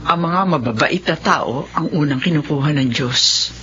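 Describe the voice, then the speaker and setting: An actress in a Filipino radio drama playing an older, embittered retired mother with an expressive, exclamatory way of talking. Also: sits low, moderate pace, natural voice. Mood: bitter, resigned. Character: embittered retired mother, easily flustered, long wronged. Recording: dull sound with faint noise.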